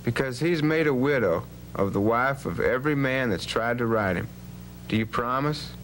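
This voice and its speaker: A man's voice: mid-range voice, expressive, normal speed, fairly bright tone, natural voice, rough recording. A man playing a bold, plain-spoken legendary cowboy rancher in an educational radio drama, with a folksy, authoritative manner. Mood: earnest, warning. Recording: hum and faint background noise.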